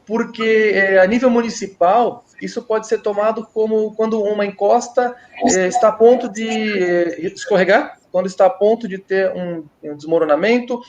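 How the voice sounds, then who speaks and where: A man's voice: sits high, normal speed, hardly any pauses, darkish tone, highs cut off. A Brazilian man giving an online presentation over a video call, explaining in a hesitant way and often stopping to restart his sentences. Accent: Brazilian Portuguese. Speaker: guest speaker.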